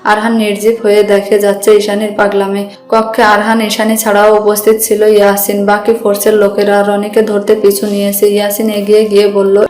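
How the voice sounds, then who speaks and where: A woman's voice: medium pitch, completely flat delivery, a little fast, a little mellow. A woman narrating a dramatic scripted story. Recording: roomy echo with music bed.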